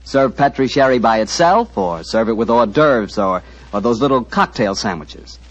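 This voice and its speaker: A man's voice: medium pitch, lively intonation, moderate pace. A male radio announcer reading a scripted commercial in a folksy, chatty way. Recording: muffled old radio broadcast.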